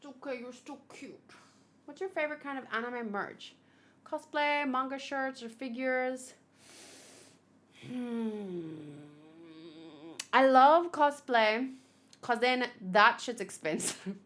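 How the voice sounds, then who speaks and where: Casting: female livestream host, high voice, casual and exclamatory, chats off the cuff with viewers. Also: slow, pauses often. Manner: animated, exclamatory, thinking aloud. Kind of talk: casual livestream chat, reading and answering viewers.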